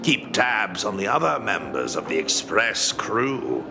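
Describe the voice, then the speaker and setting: Smug sarcastic game dude, gravelly, slow, swings his voice up and down. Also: fairly low pitch, big pitch swings, background noise, heavily acted. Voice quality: dry and sarcastic. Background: game music.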